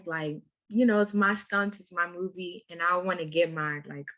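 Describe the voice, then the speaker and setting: Relaxed teenage girl from Minnesota. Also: lowish voice. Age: teens. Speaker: youth leader presenter. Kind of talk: scripted youth talk.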